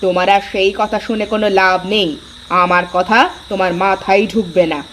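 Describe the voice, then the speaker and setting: A woman's voice: medium pitch, level tone, brisk, fairly bright tone, a little hiss or hum, close to an everyday voice. A woman voicing a bossy, easily offended elder sister-in-law in a cartoon, with a haughty, scolding manner. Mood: sulky. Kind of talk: Bengali cartoon dialogue.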